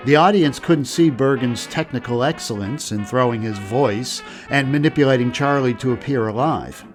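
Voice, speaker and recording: A man giving scripted documentary narration in an even, informative way. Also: mid-range voice, normal speed. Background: music.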